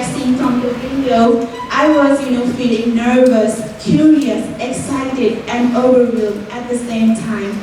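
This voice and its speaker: A woman's voice: a little high, darkish tone, a little hiss or hum. Earnest young Nepali woman speaking on stage. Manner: earnest, fills with "like" and "you know". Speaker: student speaker. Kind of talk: prepared stage speech. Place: university event hall. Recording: roomy hall audio from far off.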